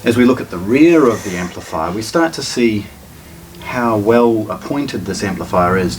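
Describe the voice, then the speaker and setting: A man with a lowish voice gives an unscripted product walkthrough. He is matter-of-fact and a little hesitant as he explains. The recording sounds distant from the microphone, with some noise behind him. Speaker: product presenter. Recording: distant mic, noticeable background noise.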